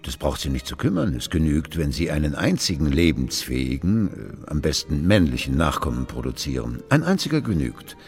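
A man's voice: extremely low pitch, very animated, a little fast, hardly any pauses, darkish tone, husky, full character voice. An actor playing a patronizing, insistent royal statesman in a radio drama, speaking in a pompous, declamatory manner. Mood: insistent, lecturing. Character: patronizing male royal statesman pressing a queen to marry. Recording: long hall reverb, music behind.

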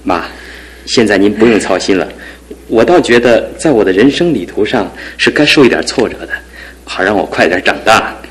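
A man's voice: on the low side, animated, normal speed, slightly rough, low-quality recording. An actor playing a young husband recovering from an injury, talking sincerely and gratefully to his mother in a radio drama. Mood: earnest. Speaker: son and husband.

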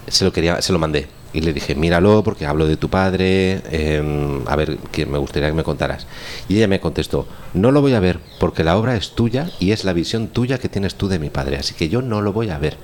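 A man's voice: low voice, darkish tone. A man with an accent from Spain, talking casually and explaining as a guest teacher. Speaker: audio drama teacher and guest. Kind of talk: casual explanatory chat with anecdotes. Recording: clear background noise, room ambience.

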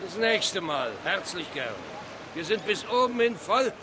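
An actor in a German radio play playing an unhelpful steamship captain, brisk and dismissively polite. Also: male voice, sits high, sing-song. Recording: outdoor vehicle noise, harbour effects.

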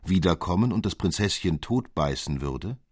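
A man voicing an odd, secretive godfather clockmaker who tells tales in a wry, measured way. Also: low voice, animated, husky, no room sound, clean studio sound. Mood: wry storytelling.

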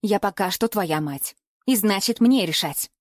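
A woman's voice: mid-range voice, lively intonation, measured pace, no room sound, studio quality. Woman playing an embittered, hysterical middle-aged mother. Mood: scornful, commanding. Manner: indignant, accusing, exclamatory outbursts.

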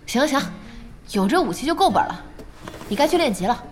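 A lively, sharp-tongued gamer girl. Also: sits high, fairly quick, soft background music. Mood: amused. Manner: teasing, chatty. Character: playful, sharp-tongued young female gamer, teasing friend. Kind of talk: Chinese audio drama acting.

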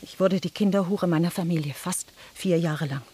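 An actress playing a bitter, vengeful French noblewoman in a radio drama, intense and husky, with creaks in her voice. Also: on the low side, moderate pace, warm tone.

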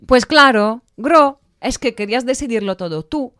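A woman's voice: on the high side, expressive, normal speed, sharp tone, no room sound, studio quality. A woman playing a blunt, sardonic commentator in an audio drama, speaking emphatically and critically. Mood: accusing, exasperated.

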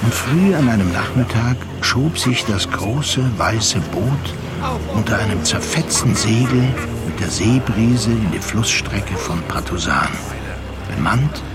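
Low-voiced man narrating over loud music. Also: expressive, unhurried. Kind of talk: dramatic literary narration for a radio play. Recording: loud music bed under the voice.

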